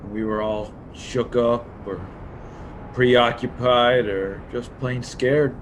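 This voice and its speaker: Man acting grieving leader of survivors, slow pace, dramatic read, faint background noise, video call. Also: mid-range voice, steady tone, poor audio. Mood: grieving, halting.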